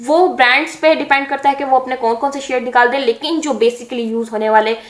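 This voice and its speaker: High-pitched chatty beauty vlogger woman. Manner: chatty, explanatory, frequent hesitations. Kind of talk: casual makeup tutorial. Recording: echoey room.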